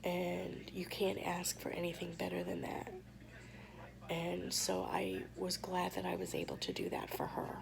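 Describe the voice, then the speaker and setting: A woman vlogger speaks to camera with a hoarse, heavily gravelly voice that sits a little low. She tells her story softly and haltingly, pausing often. A crowd murmurs behind her. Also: monotone. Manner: soft, halting, with many pauses and fillers.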